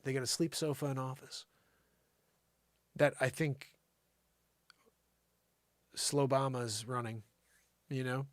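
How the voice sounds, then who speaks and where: A man's voice: mid-range voice, fairly even intonation, slow pace, long pauses, no room sound. A man hosting a live show with casual, slangy, rambling comedic commentary, often thinking aloud. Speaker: live variety show host.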